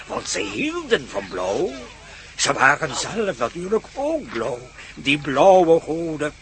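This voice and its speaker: An actor playing a solemn storyteller who recounts an ancient legend in a radio play, with deliberate, dramatic phrasing. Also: male voice, high-pitched, a bit bright, a little hiss or hum, full character voice.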